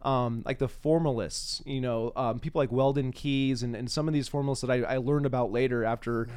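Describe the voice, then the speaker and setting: A man's voice: medium pitch, fairly even intonation, brisk, darkish tone, smooth. A man hosting a podcast, chatting casually and thinking aloud, at times reciting simple rhyming verse. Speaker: podcast host.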